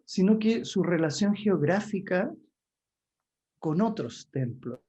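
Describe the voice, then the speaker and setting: A man's voice: sits high, expressive, a little slow, a little mellow, slightly rough. A man hosting an interview, asking his questions hesitantly and searching for words with many restarts. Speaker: interview host. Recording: dull lo-fi sound.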